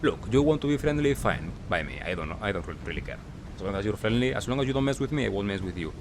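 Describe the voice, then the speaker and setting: A man giving casual gameplay commentary as a recap, talking in short clipped phrases with pauses. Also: fairly low pitch, lively intonation, noisy background. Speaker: gaming video creator.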